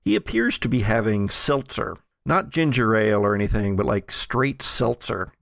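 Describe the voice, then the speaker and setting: A man narrating as game master in a tabletop role-play, halting, with many fillers. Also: lowish voice, animated, vocal fry, a bit bright, dry recording, studio quality.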